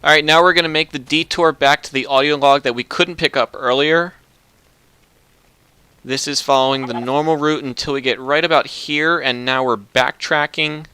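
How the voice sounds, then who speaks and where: A man narrating a video game walkthrough in a relaxed, instructive, matter-of-fact way. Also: a little high, moderate pace, clean tone, dry recording. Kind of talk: casual gameplay commentary.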